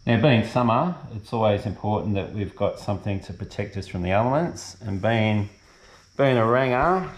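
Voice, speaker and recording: Middle-aged Aussie man, deep voice, loose unscripted gear chat with frequent ums. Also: slight background noise, rough recording. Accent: Australian. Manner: relaxed, rambling with many ums and restarts. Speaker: fishing vlogger. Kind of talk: casual gear walkthrough. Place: garage or shed, indoors.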